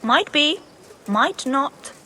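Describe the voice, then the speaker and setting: A woman's voice: high-pitched, lively intonation, very slow, bright, forceful tone, slight background noise, heavily acted. A woman voicing a downtrodden, stubborn medieval beggar in a video game, with a plaintive manner. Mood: evasive. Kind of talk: dramatic game cutscene dialogue.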